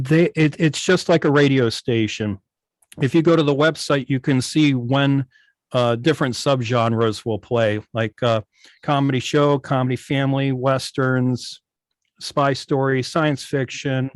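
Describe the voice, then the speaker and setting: A man explaining his work informally on a video call, in a halting, detailed way. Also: mid-range voice, no room sound. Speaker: guest, radio station operator. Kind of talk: informal explanation in an online club meeting.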